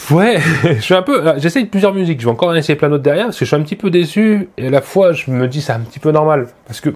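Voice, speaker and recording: A man's voice: on the high side, expressive, fast talker. A man with a head cold giving a casual unboxing review, chatty and self-mocking. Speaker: tech reviewer. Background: faint music from the speaker being tested.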